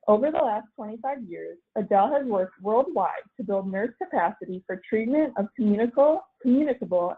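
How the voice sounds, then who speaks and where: A woman moderating a webinar, reading the welcome and the speaker introductions from a script. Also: medium pitch. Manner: formal, reads from a script. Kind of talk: scripted announcements. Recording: phone-line audio.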